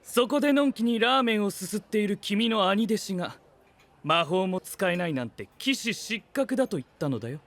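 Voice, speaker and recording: A man's voice: high voice, animated, a little fast, a bit bright. A man playing a cool, aloof, analytical young knight with dry, pedantic speech in an anime voice drama. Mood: cool, detached.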